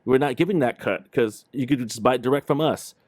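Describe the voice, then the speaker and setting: A man's voice: mid-range voice, fairly quick, a little mellow, dry recording, studio quality. A man hosting a casual livestream chat in an informal, slangy way. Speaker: host of a video game livestream show.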